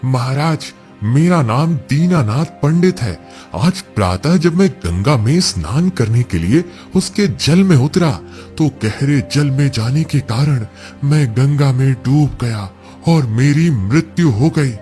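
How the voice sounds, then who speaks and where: A man's voice: medium pitch, animated, slow pace, few pauses, mellow, faint music behind. A man voicing the soul of a deferential village priest in a cartoon moral story, respectful and earnest. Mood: humble.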